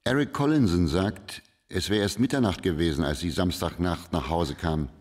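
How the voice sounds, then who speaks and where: Knapper Privatdetektiv mit sehr tiefer Stimme. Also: male voice, deep voice, normal speed, darkish tone. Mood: businesslike. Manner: clipped questioning. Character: terse, probing male private detective. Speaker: actor in a radio crime drama. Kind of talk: German radio play acting.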